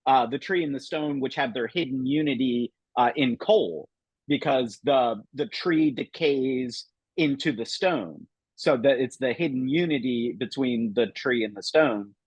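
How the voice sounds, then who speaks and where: Male roundtable host, husky and dry, eager and loose. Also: medium pitch, a little slow, no echo, lo-fi sound. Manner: enthusiastic, many fillers. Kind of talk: casual roundtable discussion.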